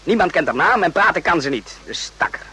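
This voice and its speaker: An actor playing an uneasy, rough-spoken soldier on night watch in a radio play, agitated and often repeating himself. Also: male voice, a little high, sing-song, fairly quick, bright, forceful tone, exaggerated voice. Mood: restless, insistent.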